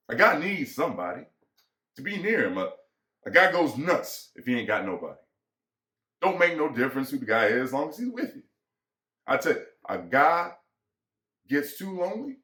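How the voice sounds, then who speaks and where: A man's voice: mid-range voice, bright, forceful tone. A man acting as bitter, lonely American ranch hand, echoey. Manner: folksy rural vernacular, musing and pressing. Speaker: actor. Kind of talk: dramatic reading of a novel. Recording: echoey room.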